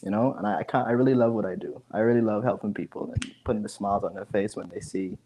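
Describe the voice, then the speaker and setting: Deep-voiced young man chatting informally. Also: steady tone, fast, noisy background. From the Bahamas. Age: 20s. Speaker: guest, digital marketing specialist. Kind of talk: casual interview chat. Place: small room at home, video call. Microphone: earphone headset.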